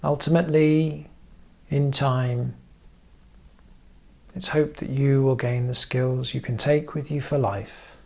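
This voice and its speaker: Gravelly-voiced man leading a slow meditation. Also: medium pitch, steady tone, darkish tone. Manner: measured, pausing often between short phrases. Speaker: therapist leading guided meditation. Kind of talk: guided meditation, gentle instruction.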